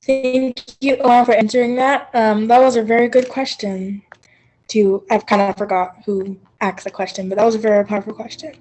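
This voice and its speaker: A woman moderating a library author talk, reading out audience questions with many hesitant pauses. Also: fairly high pitch, flat delivery, a little mellow, a little hiss or hum, low-quality recording.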